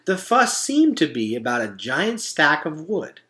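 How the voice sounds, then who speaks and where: A man reading a children's story aloud as an expressive narrator. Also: on the high side, a little slow.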